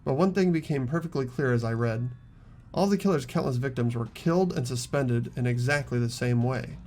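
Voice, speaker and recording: A man's voice: mid-range voice, a little fast, a little mellow, slight background noise, close to an everyday voice. A man voicing an earnest, anxious teenage schoolboy protagonist, narrating dramatically as in a visual novel. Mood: grim, stunned. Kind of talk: visual novel character reading.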